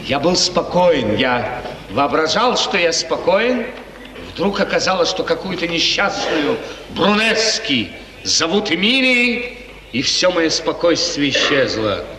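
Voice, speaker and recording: A man's voice: fairly high pitch, big pitch swings, slow, crowd noise. An actor playing a restless, lovesick prince in 1950s radio theatre, musing aloud theatrically and repeating his phrases. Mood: restless, commanding. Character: restless lovesick prince of a small feudal state. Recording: old noisy recording, echoey hall, distant.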